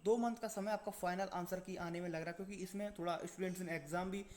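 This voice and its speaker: A man's voice: high-pitched, fairly even intonation, fast, a little mellow. A man presenting an exam-update video in an informal explainer style, repeating his points and answering his own questions.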